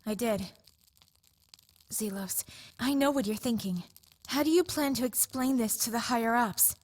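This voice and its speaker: Woman as a high-voiced young army leader. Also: unhurried, darkish tone. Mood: skeptical. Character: level-headed, determined young female cadet leader. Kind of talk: video game fan dub acting.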